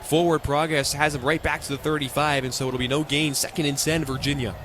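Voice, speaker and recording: A fairly high-pitched American man works as a radio play-by-play announcer at a football game. He delivers the action in short, clipped phrases. Outdoor stadium noise is audible behind him. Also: flat delivery, a little fast. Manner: clipped present-tense phrases.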